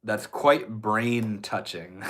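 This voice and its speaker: A man's voice: lowish voice, very slow, a bit bright. Laid-back stuffy-nosed streamer guy. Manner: laid-back, swears often, chats with viewers. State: stuffy nose. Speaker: livestreamer reading chat. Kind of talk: casual livestream chat.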